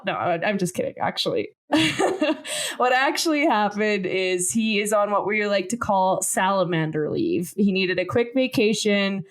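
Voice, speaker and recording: A young adult woman hosting a science podcast in casual chat, joking and explaining plainly. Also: mid-range voice. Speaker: podcast host.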